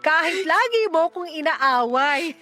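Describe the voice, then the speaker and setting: A woman's voice: extremely high pitch, unhurried, soft background music, exaggerated voice. A Filipino woman acting as a playful, fiercely protective single mother around forty in a melodramatic radio drama. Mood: tender, reassuring. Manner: animated, teasing, exclamatory.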